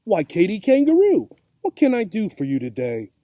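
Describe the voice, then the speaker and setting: Storybook crocodile mother, male reader: big, obliging, flustered, pitched up, mellow edges. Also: huge pitch swings, moderate pace, no room sound, studio quality. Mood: welcoming.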